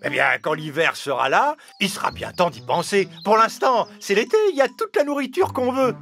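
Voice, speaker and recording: An actor voicing a carefree, vain male cicada musician in a French children's animated fable, with a theatrical, playful, sing-song delivery. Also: medium pitch, fairly quick, close to an everyday voice. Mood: breezy, dismissive. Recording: loud music behind the voice.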